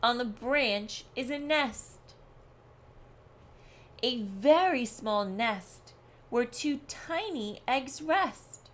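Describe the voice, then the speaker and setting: A proud storybook bear, acted out by a woman, competitive and full of boasts. He speaks very slowly, leaning on his words with emphatic self-importance. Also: on the high side, animated, fairly bright tone, full character voice. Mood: smug boasting. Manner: boastful, emphatic, growling at times. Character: proud, competitive male bear bragging about his nose.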